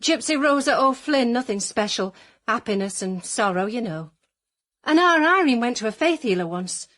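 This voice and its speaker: A woman's voice: a little high, lively intonation, moderate pace, a bit bright. A woman playing a genteel, anxious middle-aged wife with a Northern English accent, prim and hesitant, in a comic radio drama.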